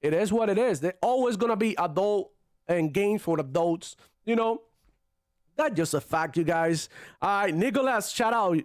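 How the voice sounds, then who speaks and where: A man's voice: high-pitched, animated, moderate pace, dry recording, clean studio sound. A young man from Cuba hosting a casual gaming livestream, chatting loosely with slang and Spanish phrases while reading viewer comments. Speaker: gaming channel host reading chat.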